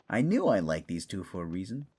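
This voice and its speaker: Wry old bookish lord, swooping low voice male actor. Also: a little mellow, husky, heavily acted. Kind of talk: fantasy audio drama acting.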